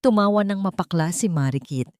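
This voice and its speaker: A woman narrating a dramatic story with steady scripted delivery. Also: lowish voice, a little fast, no echo, studio quality.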